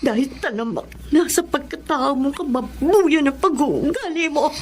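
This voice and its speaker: An actress playing a long-suffering, bitterly resolute wife in Filipino radio melodrama, with slow, emotional delivery. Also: female voice, high voice, expressive, slight background noise. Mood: cold vengeful resolve.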